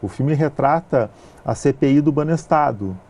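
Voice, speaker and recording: Gaúcho guy, mellow warm voice, explains slow and steady, lots of "ah" pauses. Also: on the high side. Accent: gaúcho Brazilian Portuguese. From southern Brazil (Rio Grande do Sul). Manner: measured, hesitant with frequent fillers. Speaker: film producer, interview guest. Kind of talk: explanatory interview answers.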